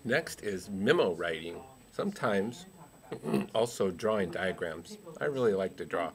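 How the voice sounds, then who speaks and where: A man's voice: lively intonation, measured pace, creaky, exaggerated voice. A man voicing a goofy academic researcher puppet in a comic explainer, playful and silly. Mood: playful explaining. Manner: playful, silly, with high whoops.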